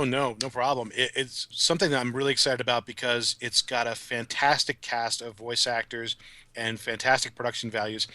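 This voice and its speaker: Bright-voiced man talking on a podcast. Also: medium pitch, no room sound. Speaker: guest, writer of audio dramas. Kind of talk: casual podcast discussion.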